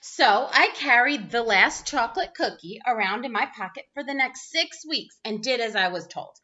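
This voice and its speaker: A woman reading a children's picture book aloud as a teacher would, expressive and dramatic. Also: on the high side, normal speed, highs cut off. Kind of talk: animated children's read-aloud.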